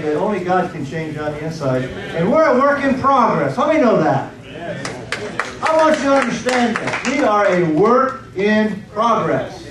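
A man preaching and praying to a congregation in a fervent, folksy way with lots of repetition. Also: high-pitched. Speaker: pastor. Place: church hall. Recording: echoey room from far off with crowd.

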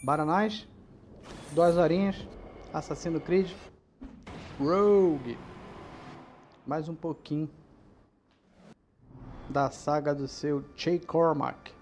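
A man's voice: fairly high pitch, steady tone, extremely slow, long pauses, darkish tone, slight background noise. A man with a Brazilian accent giving chatty, slangy commentary on a video game as he plays, talking straight to his viewers. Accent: Brazilian Portuguese. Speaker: gameplay commentator. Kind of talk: casual let's-play commentary.

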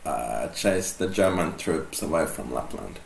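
Slow-talking gravelly man. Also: very deep voice. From Finland. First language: Swedish. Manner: relaxed, slow, reads out comments. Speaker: co-host of a live stream. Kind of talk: casual live stream chat, reading viewer comments. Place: small indoor room, seated on a sofa. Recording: roomy with faint ambient noise.

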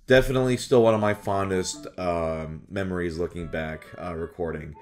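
Young adult male, low register, casual vlog host talking about his craft, animated delivery, soft music behind. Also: normal speed. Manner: animated, slips into exaggerated character voices. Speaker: voice actor and video host. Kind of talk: casual chat to camera about voice roles. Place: small furnished room at home, indoors.

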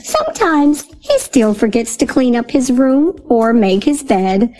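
A woman reading a children's picture book aloud in a slow, lilting storytelling voice. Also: fairly high pitch, sing-song, mellow, soft background music. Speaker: storybook reader. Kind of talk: children's read-aloud narration.

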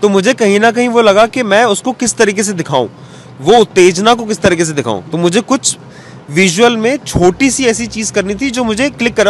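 A man in his thirties, a cartoonist guest, answering interview questions at length and mixing in English terms, recorded outdoors on a handheld mic. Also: high voice. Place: outdoors in an open courtyard. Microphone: handheld mic held out by interviewer. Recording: faint outdoor street noise.

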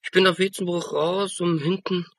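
An actor playing an evasive vagrant burglar defending himself under interrogation in a radio crime drama. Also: male voice, high-pitched, fairly even intonation, moderate pace. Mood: defensive, evasive. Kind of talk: German radio play acting.

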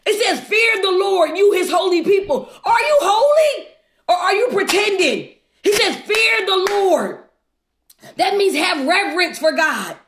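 Female preacher, extremely high voice, fervent exhorting delivery, echoey room. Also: lively intonation, unhurried, fairly bright tone, a little hiss or hum. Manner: fervent, exhorting, repetitive rhetorical questions. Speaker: preacher leading a livestream devotional. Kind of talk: exuberant devotional sermon.

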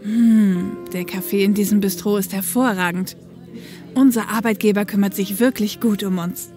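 A woman's voice: mid-range voice, steady tone, moderate pace, warm tone, faint music behind, close to an everyday voice. A woman acting a sober young female co-pilot. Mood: casual, friendly. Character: female police helicopter co-pilot from Cairo, sober, caring older sister. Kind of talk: German audio drama acting.

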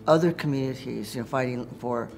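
A man's voice: mid-range voice, brisk, darkish tone. An older man with an American accent, talking in a documentary interview. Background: music.

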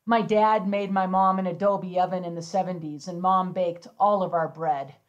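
A middle-aged woman giving an energetic, upbeat home-video ski lesson in her kitchen, demonstrating as she talks. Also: lowish voice, moderate pace, dull audio. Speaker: ski instructor. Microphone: far camera. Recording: echoey kitchen from far off.

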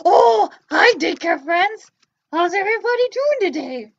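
Woman doing a squeaky eager boy puppet. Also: animated, a little slow, creaky, muffled recording, heavily acted. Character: cheerful young boy puppet Joe.

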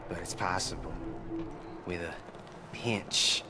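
A man's voice: deep voice, takes it slowly, music in the background. An actor playing a wily, eccentric male wasteland mechanic in a game, with a colorful, drawling way of talking. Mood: scheming.

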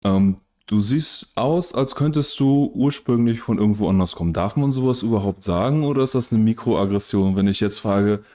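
Relaxed blunt host, deep mellow tone, boom mic in a living room. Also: male voice, moderate pace, hardly any pauses. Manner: direct, loose and blunt, swears casually. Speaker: podcast host. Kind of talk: casual interview chat. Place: bright living room with large windows. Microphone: studio mic on boom arm, headphones.

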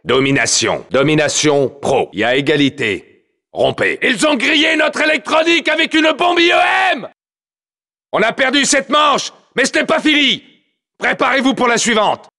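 Military game announcer: battle-hardened soldier, terse and authoritative, forceful tone, lively intonation. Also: male voice, very high voice, moderate pace, vocal fry, full character voice. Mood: firm, clipped, then urgent, alarmed. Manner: terse, authoritative, clipped phrasing. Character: male soldier multiplayer announcer, commanding, urgent, battle-hardened. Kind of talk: military game announcement, clipped radio-style callouts.

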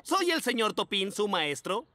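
A woman's voice: medium pitch, sing-song, a little slow, pushed and bright. Woman voicing a cheerful mole teacher. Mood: welcoming. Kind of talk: cartoon dubbing. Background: music.

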